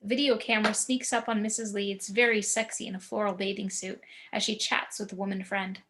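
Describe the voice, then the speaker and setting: Female narrator, mid-pitched, measured delivery of scripted stage directions. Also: flat delivery, background noise. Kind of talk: reading screenplay action descriptions.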